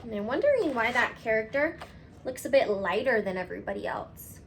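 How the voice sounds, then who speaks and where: A woman reading a picture book aloud to children, expressive and cutesy, talking directly to her young listeners. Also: fairly high pitch, brisk, slight background noise. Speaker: read-aloud host, storyteller for children.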